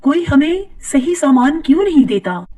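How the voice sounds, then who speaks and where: A woman voicing a milkman's fed-up wife in an animated cartoon, scolding in an exasperated way. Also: high-pitched, normal speed, far from the mic, pitched above the performer's own voice.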